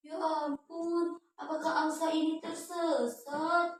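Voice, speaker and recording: A tender, lonely farmer from a children's fairy tale, acted out by a woman. The voice is high and wondering, drawing out its exclamations. It sounds distant from the microphone in a strongly reverberant room. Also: little pitch movement, a little hiss or hum. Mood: surprised, pitying. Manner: exclamatory, wondering, drawn-out phrases. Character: kind, lonely farmer, wide-eyed and tender toward animals.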